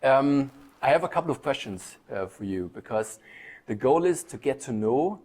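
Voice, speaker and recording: A man's voice: medium pitch, lively intonation, moderate pace, a little mellow. German man hosting a friendly interview. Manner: chatty, casual, with hesitant fillers.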